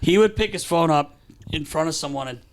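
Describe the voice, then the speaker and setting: A man's voice: a little high, lively intonation, brisk, background noise. A man co-hosting a podcast, telling stories in a loose, slangy, repetitive way in casual chat. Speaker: podcast co-host. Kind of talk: casual chat, animated storytelling. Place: podcast studio.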